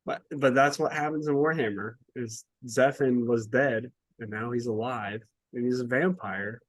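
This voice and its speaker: Animated man ranting on a podcast. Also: mid-range voice, moderate pace, dry recording, rough recording. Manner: swears casually. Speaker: podcast co-host. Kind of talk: casual book review chat.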